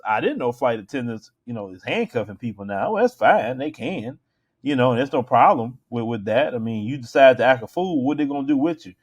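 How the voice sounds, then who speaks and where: An American man hosting a show, giving casual opinion commentary in an emphatic, colloquial, conversational way. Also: mid-range voice.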